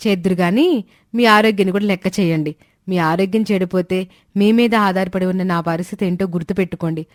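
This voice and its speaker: A woman playing a caring, sensible young daughter-in-law who gently advises in a family story. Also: lowish voice, a little fast, no room sound, clean studio sound, close to an everyday voice. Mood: concerned. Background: background music.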